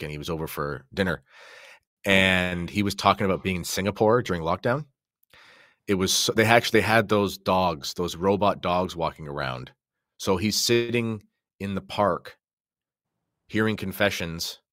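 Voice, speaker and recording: A man's voice: sits low, fairly bright tone, no echo, studio quality. A man co-hosting a podcast, telling stories in a casual, informal, somewhat hesitant way. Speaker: podcast co-host. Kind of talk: casual chat and storytelling.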